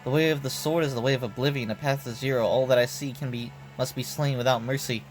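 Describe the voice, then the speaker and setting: A man's voice: medium pitch, steady tone, fast, lightly acted, poor audio. A man voicing a merciless demon swordswoman in game dialogue, with a cold, solemn and formal delivery. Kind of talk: game dialogue read aloud. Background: background music.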